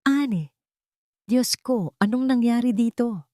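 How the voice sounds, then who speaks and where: A woman's voice: a little high, slow pace, dark tone, dry recording, studio quality, pitched above the performer's own voice. A woman voicing an alarmed, frightened landlady in an audio drama, agitated and fretful.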